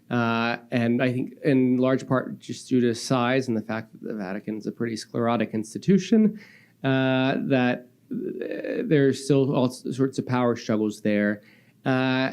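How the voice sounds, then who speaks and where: Smooth-voiced male panelist explaining. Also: medium pitch, dark tone. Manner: measured, explanatory, with fillers. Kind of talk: academic panel answer. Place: conference hall.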